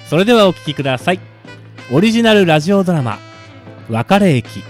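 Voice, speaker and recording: A man's voice: sits high, very animated, fairly quick, soft background music. A man co-hosting a radio show, chatting casually and introducing a radio drama.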